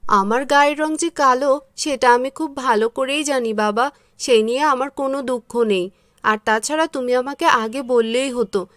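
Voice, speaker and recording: A woman voicing a gentle, dutiful, plaintive farmer's daughter in a cartoon. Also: high-pitched, normal speed, no room sound, studio quality. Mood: earnest, devoted. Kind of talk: Bengali cartoon dubbing.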